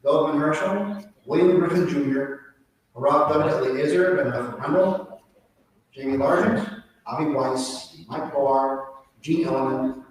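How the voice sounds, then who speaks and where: A man leading a synagogue service, speaking informally and conversationally, often repeating and rephrasing as they go. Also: mid-range voice. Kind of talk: informal sermon and prayer leading at a live service. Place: large reverberant synagogue room during a service. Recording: very echoey hall, distant mic, faint noise.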